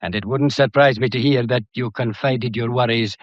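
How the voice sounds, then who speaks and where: An actor playing a shrewd, methodical police detective in a British period mystery drama, courteous and lecturing, with a faintly theatrical delivery. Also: male voice, medium pitch, fairly even intonation, moderate pace, no echo, muffled recording, close to an everyday voice. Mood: pointed, deliberate. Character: shrewd, methodical male police detective laying out a murder case.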